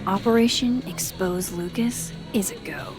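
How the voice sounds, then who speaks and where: A woman playing a wry young woman with a quiet mischievous streak in a video game, speaking in a dry, understated, teasing way. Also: mid-range voice, measured pace, music in the background, natural voice. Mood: determined. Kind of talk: naturalistic video game acting.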